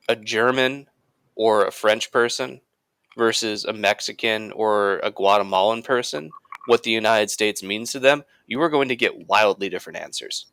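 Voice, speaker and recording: Low-voiced man making counterpoints, dry sound. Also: a bit bright, clean tone, no echo. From suburbs of Albany, New York. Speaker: podcast co-host. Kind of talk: casual discussion podcast.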